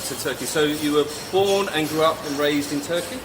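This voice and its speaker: A man's voice: on the high side, brisk. A man in his forties hosting a podcast, asking questions in an inquisitive, jokey way. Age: 40s. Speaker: podcast host. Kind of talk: casual interview chat. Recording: noisy ambient background.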